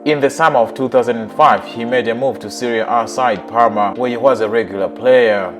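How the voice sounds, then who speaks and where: A man narrating a scripted countdown of football players in an even, list-like reading. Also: medium pitch, fairly quick, over music.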